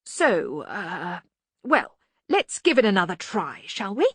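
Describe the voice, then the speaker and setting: A woman's voice: medium pitch, big pitch swings, a bit bright, husky, dry recording, exaggerated voice. A woman voicing a sensible, diplomatic male junior wizard, hesitant and deferential, in an audiobook. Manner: halting, deferential, resigned. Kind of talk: acted audiobook dialogue.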